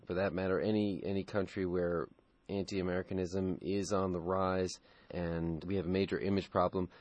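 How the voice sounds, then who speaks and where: Male radio host, low voice, dry close sound, probing conversational questions. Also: no room sound, dull audio. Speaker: radio interviewer. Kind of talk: radio interview.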